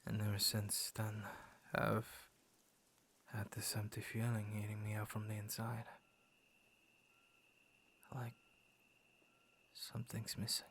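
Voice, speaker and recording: A man playing a gentle, lonely young werewolf in an intimate ASMR roleplay, speaking softly and often slipping into a breathy whisper. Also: fairly low pitch, completely flat delivery, slight background noise. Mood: wistful. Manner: soft, breathy, often dropping into whispers, hesitant pauses. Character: gentle, lonely young male werewolf turned tender suitor. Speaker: voice actor in audio roleplay. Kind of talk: ASMR boyfriend roleplay, intimate scripted monologue.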